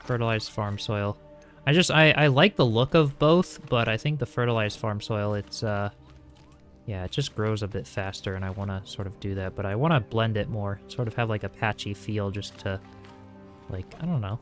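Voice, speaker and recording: A young man streaming a building game, talking calmly and softly while reading chat aloud, with frequent small chuckles. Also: lowish voice, normal speed. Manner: soft-spoken, calm, chuckles often, reads chat aloud. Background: faint game music.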